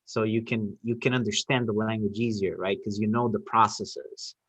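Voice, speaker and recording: A man's voice: fairly low pitch, fairly even intonation, normal speed, fairly bright tone, breathy edge, no echo, low-quality recording. A middle-aged man whose first language is Portuguese, talking casually and emphatically, often repeating phrases to make his point. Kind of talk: casual conversation.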